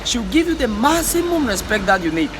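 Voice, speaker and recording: A man's voice: extremely high pitch, very animated, a little fast, noisy background. A man from Africa giving an impassioned motivational vlog monologue, repeating himself and stumbling over words when heated. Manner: fervent, repetitive, exhorting, stammers when heated. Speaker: vlogger.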